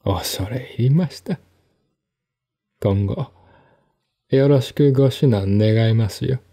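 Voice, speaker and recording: A man's voice: mid-range voice, slow pace, mellow. A man voicing a burly, thuggish lawyer in a mystery audio drama, smirking, falsely polite and brazenly familiar. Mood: oily politeness.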